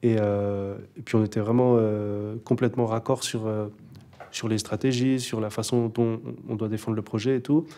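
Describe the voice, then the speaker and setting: Mellow laid-back young man. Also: fairly low pitch, level tone, normal speed. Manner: laid-back, hesitant, many fillers and restarts. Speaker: rapper guest. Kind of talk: casual interview chat.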